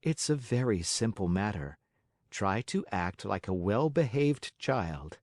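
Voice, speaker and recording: A man voicing a kindly, motherly fairy woman in a storybook, speaking in a gentle, measured, teasing way. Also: fairly low pitch, lively intonation, dry recording, clean studio sound. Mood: instructive. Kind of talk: audiobook character acting.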